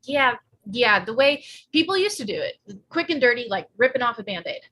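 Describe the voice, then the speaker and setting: Woman playing a bright-voiced, sarcastic teenage girl. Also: fairly high pitch, fairly quick, exaggerated voice. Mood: flippant, blunt. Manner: flippant, slangy, deadpan. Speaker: actor in a live table read. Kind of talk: screenplay table read.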